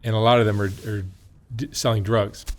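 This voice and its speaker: A man's voice: on the low side, little pitch movement, fairly quick. A man interviewing a guest, asking brief conversational questions.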